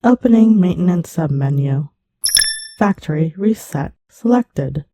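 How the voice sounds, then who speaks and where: A woman voicing an impassive automated maintenance system, flat, formal and procedural, in an audio drama. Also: lowish voice, a little slow, background noise.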